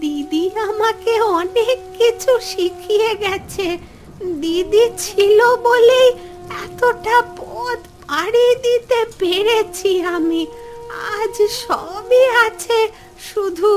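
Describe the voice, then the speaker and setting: A woman's voice: very high voice, drawn-out words, heavily acted. A woman voicing a doting, sentimental old village grandmother in a Bengali cartoon, emotional and commanding with servants. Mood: wistful, tearful. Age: older. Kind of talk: Bengali fairy-tale cartoon acting. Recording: music under the dialogue.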